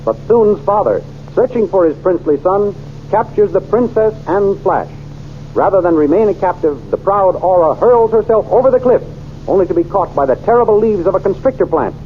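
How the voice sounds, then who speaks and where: A man's voice: high voice, expressive, normal speed, soft background music. A man narrating a 1930s radio serial with an emphatic storytelling delivery, in a dull lo-fi old recording.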